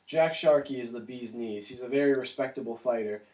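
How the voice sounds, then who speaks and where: A man's voice: mid-range voice, a little fast, dark tone, distant mic, close to an everyday voice. A man playing a confident 1920s champion boxer in a scripted radio interview.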